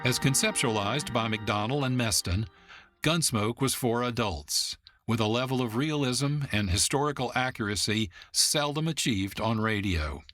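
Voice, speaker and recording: A male announcer reads scripted narration in a measured, formal way. His medium-pitched voice is close and dry. Soft music plays underneath. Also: lively intonation, no echo. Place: dry studio.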